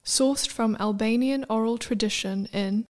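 A woman reading scripted narration for a radio play in a measured, deliberate way. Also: a little high.

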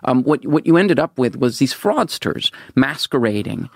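A man's voice: mid-range voice, big pitch swings, moderate pace, dry recording, studio quality. A middle-aged man from Venezuela answering questions in a political interview, earnest and often repeating words as he starts a sentence. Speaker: interview guest.

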